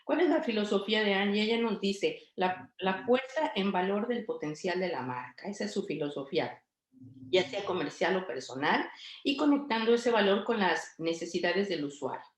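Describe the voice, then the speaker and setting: A middle-aged woman hosts the interview at a medium pitch, pausing on fillers as she gathers her words. Her voice carries a noticeable room echo. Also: animated. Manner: hesitant, many fillers, friendly. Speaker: host and interviewer. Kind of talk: interview introduction and questions.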